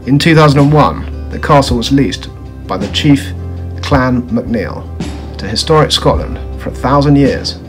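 A man's voice: mid-range voice, lively intonation, unhurried. A man in his thirties vlogging about sailing, talking casually into a phone held at arm's length. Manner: chatty, breathless on deck. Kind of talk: casual vlog narration. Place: lying in a boat cabin. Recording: loud music under voice.

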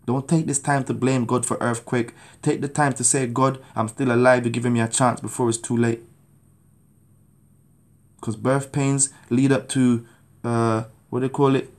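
Fervent gravelly Jamaican-born preacher. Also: male voice, mid-range voice, flat delivery, normal speed, darkish tone. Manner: fervent, urgent pleading. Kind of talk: testimony and street preaching to camera. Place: small indoor room at home. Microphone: phone camera at arm's length.

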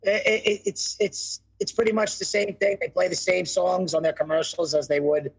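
A young man with a raspy, husky voice that sits high for a man. He jokes loudly and curses freely through a phone mic from his room at home, and the sound comes through dull and lo-fi. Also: level tone, moderate pace. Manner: loud, joking, cursing freely. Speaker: podcast co-host. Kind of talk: casual chat. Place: home room on video call. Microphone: phone mic, no headset.

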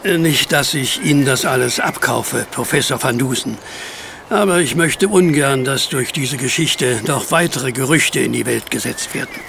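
An actor playing a brusque, skeptical and bossy police superintendent with a gravelly voice in a German audio drama. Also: male voice, medium pitch, moderate pace, background noise, close to an everyday voice. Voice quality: gravelly, creaky. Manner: brusque, barking orders.

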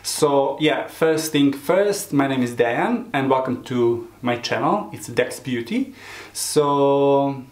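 A man of about forty with a Serbian accent, chatting casually to camera in halting non-native English. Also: mid-range voice, expressive, a little mellow. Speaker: beauty vlogger, hairdresser and drag queen. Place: small room indoors. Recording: roomy, echoing home recording.

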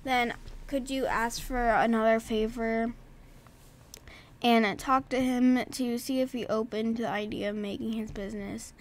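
A woman's voice: on the high side, fairly even intonation, measured pace. A woman reading aloud the lines of a polite, thankful village character in a game, in her own voice.

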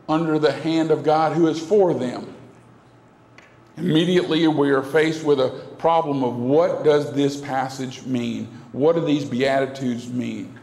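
A man's voice: medium pitch, vocal fry, darkish tone. A middle-aged man preaching a sermon at a pulpit microphone in a church, earnest and repetitive, with broad gestures. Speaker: pastor. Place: church sanctuary, indoors. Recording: echoey hall from a far camera.

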